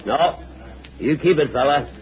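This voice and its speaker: An actor playing a friendly, folksy, wisecracking bartender in an old-time radio drama. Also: male voice, a little high, lively intonation, unhurried, noisy background. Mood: chummy, amused. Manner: folksy, chummy, slangy. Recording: dull old radio recording.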